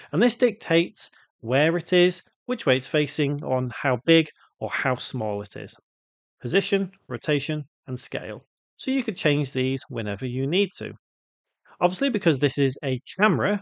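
A man presenting an unscripted software tutorial, explaining step by step and posing questions that he answers himself. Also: on the high side, no room sound.

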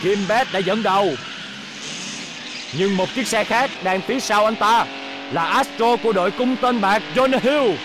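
A man playing an excitable, combative motor-race figure in a Vietnamese cartoon dub. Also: high-pitched, a little slow, background noise. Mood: excited.

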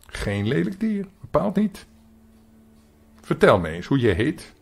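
A man acts out a fussy storybook schoolmaster with a slightly raised, very animated voice. He is formal and stern, yet curious and easily flustered. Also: fairly high pitch, measured pace, creaky, slightly rough, voice pushed up, heavily acted. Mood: inquisitive, stern.

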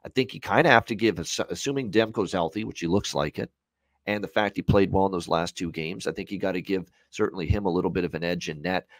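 A man's voice: low voice, fast talker, dry recording. A Canadian man in his thirties giving conversational sports betting analysis in a discursive way, repeating phrases for emphasis. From Hamilton area, Ontario, Canada. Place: small home room on a video call.

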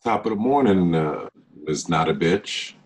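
A young man playing a smooth, evasive online date in a low-key script read over a video call. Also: lively intonation, unhurried, heavily acted. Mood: evasive, calm. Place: dim room at home, indoors.

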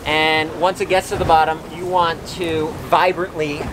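A man's voice: fairly high pitch, flat delivery, moderate pace. A man casually giving fishing instructions outdoors on a boat at sea. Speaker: fishing guide. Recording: noisy open-air boat audio.

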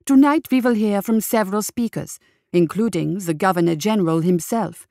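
A woman's voice: mid-range voice, normal speed, a little mellow, dry recording, clean studio sound, lightly acted. A woman voicing a male radio reporter giving formal, genteel live commentary on a society event.

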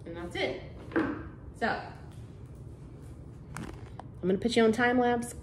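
A woman's voice: fairly high pitch, slow, roomy sound, very noisy. Chatty woman vlogging at home. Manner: chatty, homey, fillers like "like" and "um". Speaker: cleaning vlogger. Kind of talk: casual chat to camera. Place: carpeted-free living room of a house, indoors.

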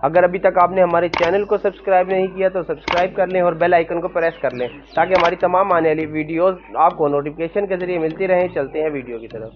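Higher-pitched young Pakistani man hosting a vlog. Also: steady tone, quick pace, few pauses. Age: young adult. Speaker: vlog host interviewing a farmer. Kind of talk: vlog presenting. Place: outdoor farm yard. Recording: dull lo-fi sound, background music. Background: music.

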